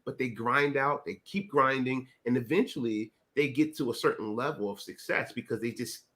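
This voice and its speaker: A man's voice: mid-range voice, normal speed, a bit bright. Male host, casual chat, rambling, filler-heavy.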